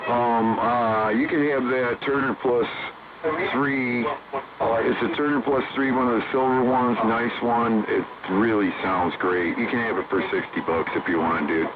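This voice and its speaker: A man's voice: mid-range voice, fairly even intonation, measured pace. A man chatting casually over CB radio as an operator, rambling and slangy with the odd swear word. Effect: heard over CB sideband radio. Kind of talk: casual on-air radio chat. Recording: noisy, narrow-band CB radio transmission.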